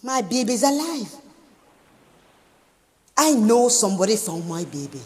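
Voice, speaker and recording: An actress playing a woman haunted by the loss of a newborn, speaking in a slow, halting stage monologue. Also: fairly high pitch, very animated, long reverb tail. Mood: anguished.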